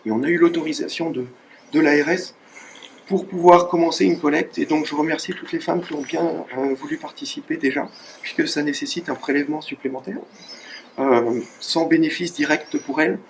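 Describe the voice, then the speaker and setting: A man, a cancer researcher, giving a halting speech of thanks at a charity event, with many pauses and fillers. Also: on the high side, vocal fry, a little hiss or hum, poor audio. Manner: hesitant, many fillers and restarts.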